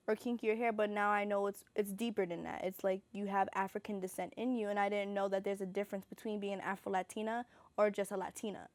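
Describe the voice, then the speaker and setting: A woman's voice: mid-range voice, darkish tone, no echo. A young woman co-hosting a casual talk show, upbeat and chatty, asking her guests questions. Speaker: podcast co-host. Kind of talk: casual discussion.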